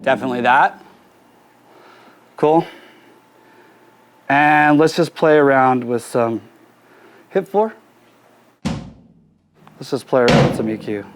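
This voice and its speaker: A man's voice: mid-range voice, expressive, slow, long pauses. A man working as a music producer gives a casual hands-on tutorial, handing out informal instructions to his crew in a drum studio. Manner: informal, directive. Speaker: music producer giving a mixing demonstration. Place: recording studio with drum kit and PA.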